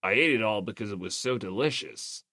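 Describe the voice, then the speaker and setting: Woman as teenage tough guy, deepened voice, blunt, manly, emphatic, audiobook character, dry sound. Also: expressive, brisk, a bit bright, no echo. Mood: surprised, awkward.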